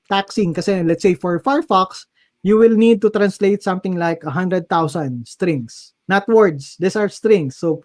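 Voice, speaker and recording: A man's voice: high-pitched, a little mellow. A Filipino man speaking with a Filipino accent as a guest speaker on a video call webinar, answering questions with many fillers and switching between English and Tagalog. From Philippines.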